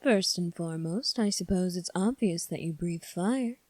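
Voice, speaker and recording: A sly young prince played by a woman, with a soft mellow tone in a very dry, close recording. He speaks formally and calmly, probing and teasing with a faintly sadistic edge, in the manner of an ASMR audio drama. The pitch sits on the low side for a woman. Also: dry recording, lightly acted. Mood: smooth, teasing. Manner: measured, formal, coolly probing.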